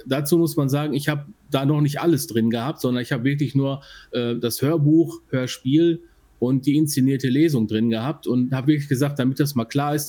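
Mellow German man, medium pitch, explaining casually. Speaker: podcast co-host. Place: living room at home.

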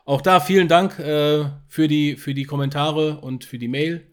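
A man's voice: a little high, steady tone, moderate pace, clear, resonant tone. A man co-hosting a podcast, talking in a casual, conversational way. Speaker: podcast host. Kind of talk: casual chat about audio dramas.

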